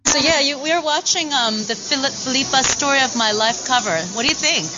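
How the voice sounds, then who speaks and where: A woman conducting street interviews outdoors, lively and laughing with her interviewees. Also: sits high, fairly quick. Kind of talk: vox pop interview. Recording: dull, lo-fi field recording with loud street noise.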